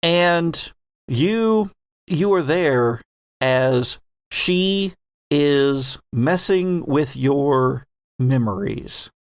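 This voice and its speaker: A man's voice: fairly high pitch, lively intonation, very slow, smooth, no room sound, clean studio sound. A man hosting as game master, narrating an improvised tabletop roleplaying game. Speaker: game master and podcast host.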